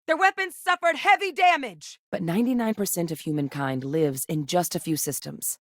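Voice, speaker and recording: An actress playing a seasoned, no-nonsense soldier turned xenobiologist in a space video game, composed, matter-of-fact and earnest. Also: female voice, sits low, lively intonation, fairly quick, warm tone, no echo, studio quality. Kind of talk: scripted video game dialogue.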